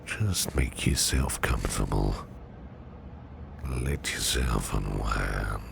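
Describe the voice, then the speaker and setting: A man playing a caring, courteous businessman boss in an ASMR roleplay, speaking in a soft, soothing, half-whispered murmur. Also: a bass voice, takes it slowly, creaky, noisy background, heavily acted. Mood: tender, soothing. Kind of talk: ASMR personal attention roleplay.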